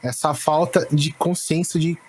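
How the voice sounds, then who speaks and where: A man with a Brazilian accent chatting casually as a podcast host, halting and often stammering over the starts of words. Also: on the high side, flat delivery, darkish tone, breathy edge, low-quality recording. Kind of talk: casual chat.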